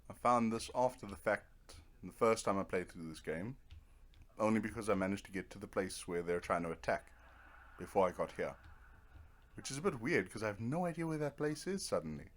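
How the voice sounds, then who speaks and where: A man's voice: fairly low pitch, expressive, fairly quick. A man giving casual let's play commentary, rambling to himself in a wry, self-deprecating way. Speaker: gaming video host.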